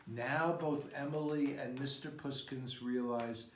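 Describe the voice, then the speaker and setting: A man reading a children's picture book aloud in an expressive, unhurried storytelling style. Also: medium pitch, slight background noise. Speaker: storybook reader. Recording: roomy, echoing sound.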